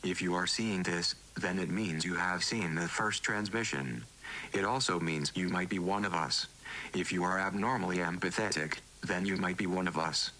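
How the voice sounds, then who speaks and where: A man playing a wary, earnest underground resistance broadcaster, reading scripted analog horror narration in a deliberate, cryptic way. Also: low-pitched, sharp tone, exaggerated voice, low-quality recording. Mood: guarded warning. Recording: faint hiss and noise.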